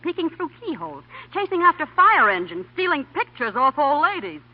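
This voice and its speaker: Very high-voiced, sassy reporter, old radio. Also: female voice, sing-song, a little fast. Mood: indignant. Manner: sassy, wisecracking, dramatic. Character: sharp-tongued, independent female star reporter. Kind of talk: 1940s radio comedy acting. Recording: dull 1940 radio broadcast.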